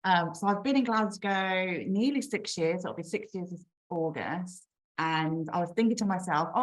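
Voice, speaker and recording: A woman's voice: medium pitch, low-quality recording. A woman in her forties with a Birmingham accent, chatting casually as an author on a video call, with frequent ums and restarts. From England. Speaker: children's author. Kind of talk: casual online talk.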